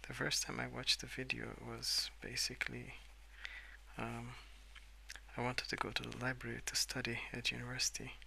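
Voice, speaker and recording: A young adult man softly rambling in a breathy half-whisper for ASMR, relaxed and unhurried, close to the microphone. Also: mid-range voice, a little hiss or hum, rough recording. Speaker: ASMR video creator. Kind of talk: soft-spoken ASMR rambling while colouring.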